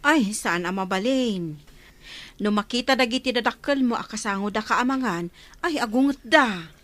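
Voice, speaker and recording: An actress playing a nervous, deferential housemaid in an Ilocano radio drama, answering hesitantly. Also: female voice, on the high side, expressive, normal speed, a bit bright. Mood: hesitant, polite. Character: nervous, deferential female household maid covering for herself.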